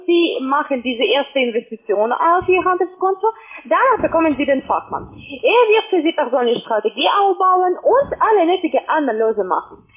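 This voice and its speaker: Female phone sales agent, non-native speaker, high pitch, halting but pushy, thin telephone sound. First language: not German. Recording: narrow tinny phone line.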